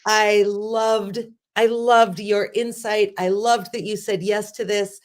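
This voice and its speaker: A woman in her forties hosting a casual interview, animated and laughing often. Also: medium pitch. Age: 40s. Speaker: host.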